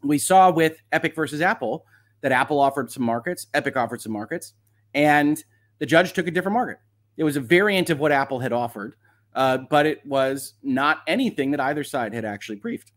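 A man's voice: on the high side, a little fast. A man hosting a livestream of legal commentary, talking casually and reading aloud with wry, sardonic asides.

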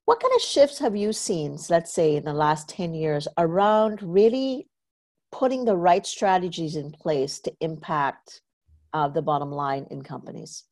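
A woman's voice: low-pitched, expressive, unhurried, a little mellow. A woman from Trinidad and Tobago hosting an interview podcast, talking in a chatty way with lots of "you know" fillers and storytelling asides. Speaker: podcast host. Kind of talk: casual conversational interview.

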